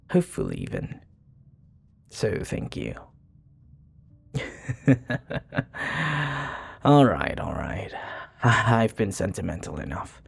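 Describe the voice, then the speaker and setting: A man's voice: deep voice, gravelly, slightly rough, voice pushed down, exaggerated voice. A man playing a charming, sincere vampire bartender who flirts tenderly and hesitantly in an intimate ASMR roleplay.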